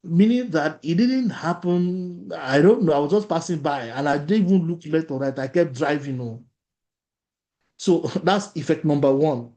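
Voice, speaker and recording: A man's voice: a little high, mellow. A man with a Nigerian accent, a native Yoruba speaker, leading an online workshop and often asking the audience questions. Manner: repeats phrases, asks the audience questions. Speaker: workshop facilitator. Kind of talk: interactive online workshop talk.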